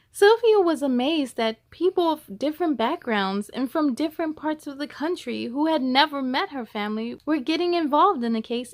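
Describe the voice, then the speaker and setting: A woman reading a children's picture book aloud as a story-time host, with a deliberate, expressive storytelling delivery. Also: high-pitched.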